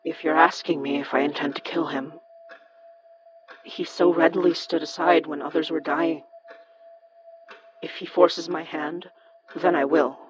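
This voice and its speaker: A woman's voice: low-pitched, fairly even intonation, unhurried, pauses often, muffled recording, a crowd in the background. A woman playing a blunt, determined young summoner in an audio horror drama, speaking directly and intensely. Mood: urgent, resolute.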